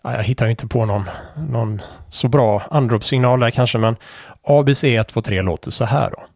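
A man, explaining amateur radio Morse code procedure in an unhurried way, with many fillers. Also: mid-range voice, vocal fry, darkish tone, no room sound, clean studio sound. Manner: unhurried, many fillers, spells out letters and call signs.

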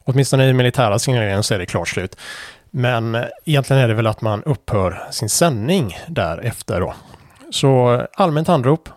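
A man's voice: medium pitch, animated, darkish tone, no room sound. Man patiently teaching Morse procedure. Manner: unhurried, many fillers, spells out letters and call signs. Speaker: amateur radio instructor. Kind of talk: explaining Morse code procedure.

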